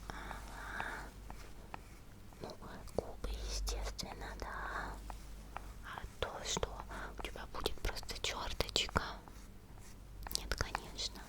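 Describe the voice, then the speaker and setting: A woman playing a friendly, attentive monster girl in a whispered ASMR roleplay, speaking gently and unhurriedly in a breathy murmur to the listener. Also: slow pace, long pauses, lightly acted. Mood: focused, attentive.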